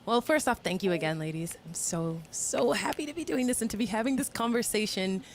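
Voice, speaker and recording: A woman from the United States, a guest singer, speaking in a reflective, halting, conversational way in an interview. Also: medium pitch, lively intonation, slight background noise.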